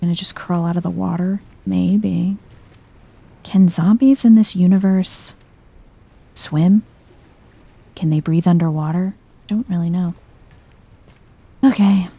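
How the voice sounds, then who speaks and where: A soft-spoken woman giving hushed ASMR-style game commentary, musing to herself in an unhurried, breathy way and often dropping into a whisper. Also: on the low side, fairly even intonation. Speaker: video game streamer, let's player.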